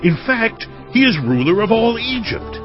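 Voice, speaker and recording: An actor playing an excited brother bearing good news in a dramatized audio Bible, speaking emphatically. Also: male voice, high voice, moderate pace. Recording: dull audio with music underneath.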